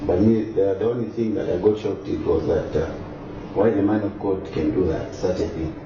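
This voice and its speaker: A man with a very low voice answers questions calmly, pausing and filling gaps as he searches for words. He sounds far from the mic in an echoey space, with clear background noise over dull, lo-fi sound. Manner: hesitant, many fillers, calm. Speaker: interviewee. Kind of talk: casual interview answers. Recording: distant echoey lo-fi recording with noise.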